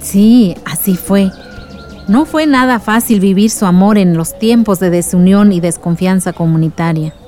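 A woman playing a warm, reflective village aunt who recounts the past in poetic phrases in a radio drama. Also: mid-range voice, unhurried, soft background music, natural voice.

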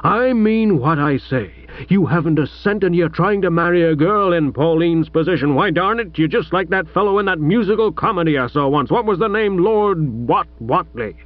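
A man voicing a blustering, gruff older American millionaire father who talks bluntly, in a dull lo-fi radio recording. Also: high voice, expressive, moderate pace, no echo, pitched above the performer's own voice. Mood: angry blustering. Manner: snorting, bullying, blunt.

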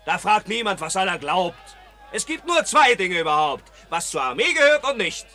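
An actor playing a boastful, swaggering soldier in a radio drama, bragging loudly. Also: male voice, sits high, very animated, moderate pace, exaggerated voice. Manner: boisterous, swaggering.

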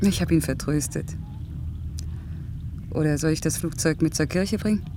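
An actress playing a lonely young single mother in a German radio play, gently reproachful and pleading with her lover. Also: sits low, moderate pace, noisy background, lightly acted. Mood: wistful, anxious.

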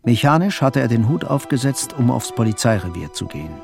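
A man's voice: mid-range voice, very animated, brisk, vocal fry, soft background music. A man narrating a literary crime story as the grave narrator of a radio drama. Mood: grave, measured.

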